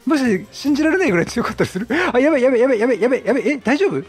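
A middle-aged man giving chatty, excitable Let's Play commentary, reacting and repeating his phrases. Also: very high-pitched, racing, husky. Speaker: game streamer. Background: game music.

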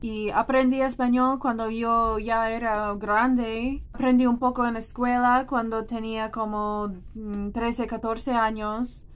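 A woman's voice: on the high side, flat delivery, slow pace, few pauses, smooth. A woman in her thirties from the United States, a native English speaker with an American accent, chatting casually and expressively to camera. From United States, New Jersey. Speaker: vlogger. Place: small room indoors.

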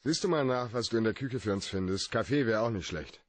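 An actor playing a tough, blunt, cool-headed private detective in a radio drama, speaking in terse commands. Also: male voice, lowish voice, brisk, no room sound, close to an everyday voice. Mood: cold, insistent.

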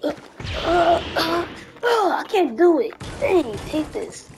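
A woman's voice: very high voice, animated, slow pace, noisy background, exaggerated voice. A woman playing a whiny, eager young son in training in an amateur anime game roleplay. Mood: unsure, whiny.

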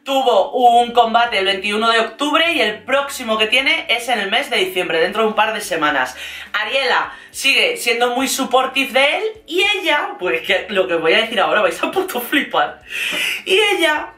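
A woman with a Castilian Spanish accent chatting casually and playfully as an online host, in an animated, gossipy, slangy way. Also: fairly high pitch, moderate pace, reverberant. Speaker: YouTube host recapping reality TV. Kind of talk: casual, chatty vlog commentary.

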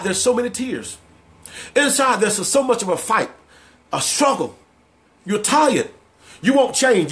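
A man's voice: sits high, fairly bright tone. A man preaching a motivational sermon, driving points home with emphatic, repeated phrases.

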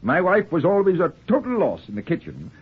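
Husky, pompous middle-aged gentleman. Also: high voice, wildly swooping pitch, fairly quick, heavily acted. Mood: jaunty, grand. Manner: pompous, exasperated, theatrical. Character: pompous, fussy middle-aged gentleman, a returned runaway father. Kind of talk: 1940s radio acting. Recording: muffled vintage 1940s radio broadcast.

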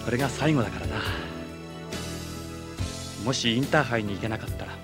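A man's voice: medium pitch, brisk, loud music behind the voice, natural voice. A man voicing a mild, sincere anime character. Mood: quietly earnest. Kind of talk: anime acting.

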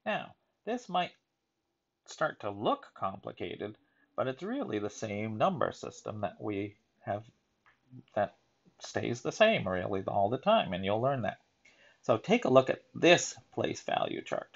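A man reading a children's picture book aloud in a deliberate, emphatic teaching manner, adding explanatory asides. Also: fairly low pitch, sing-song, vocal fry, dull audio.

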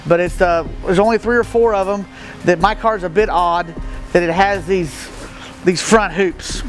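A man's voice: high voice, steady tone, moderate pace, faint music behind, low-quality recording. A middle-aged man from the Midwest, a stock car racer, explaining his car in a plain-spoken, matter-of-fact way with many fillers, recorded in an open metal shed by a camera a few feet away. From Midwestern United States. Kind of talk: casual walkaround explanation. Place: open metal shed with gravel floor, outdoors nearby.

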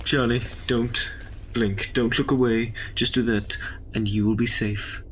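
A man's voice: medium pitch, flat delivery, measured pace, fairly bright tone, a little hiss or hum, natural voice, rough recording. A man playing an urgent, commanding time-travelling doctor in an amateur audio drama. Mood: tense, scolding.

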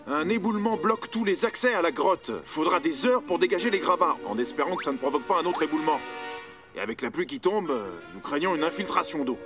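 Cartoon rescue official male actor, anxious and hurried, slightly high voice over a music bed. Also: animated, lightly acted. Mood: worried, urgent. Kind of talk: cartoon voice acting, news report statement. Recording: music under the voice.

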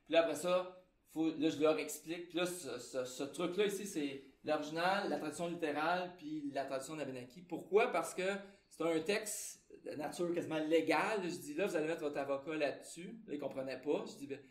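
A man's voice: a little high, fairly quick, roomy sound. A man with a Québécois French accent, a translator giving an informal conference talk in a loose, conversational way with many fillers.

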